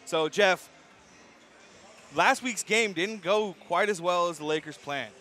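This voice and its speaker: A man's voice: high voice, takes it slowly, bright, forceful tone. An American man hosting live pre-game basketball commentary, with gym noise behind him. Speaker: sports broadcast host and commentator. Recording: noisy gym ambience behind the voice.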